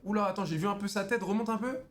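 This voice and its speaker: Young male streamer, mocking slangy banter, quick and a bit high. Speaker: streamer chatting with strangers on video chat. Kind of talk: casual teasing chat.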